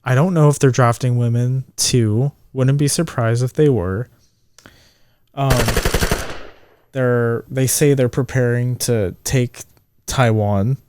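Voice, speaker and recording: A man's voice: fairly even intonation, takes it slowly, darkish tone, clean tone, no room sound. Man in his twenties, close dry podcast sound. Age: 20s. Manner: swears freely and voice jumps high when indignant. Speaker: podcast co-host. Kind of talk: casual podcast chat.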